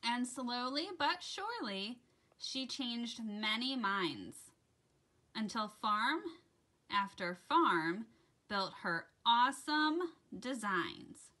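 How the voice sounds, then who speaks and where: A woman host reading aloud to children in an expressive, deliberate storytelling style. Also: fairly high pitch. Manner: dramatic, deliberate storytelling delivery addressed to children. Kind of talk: expressive read-aloud of a rhyming children's picture book.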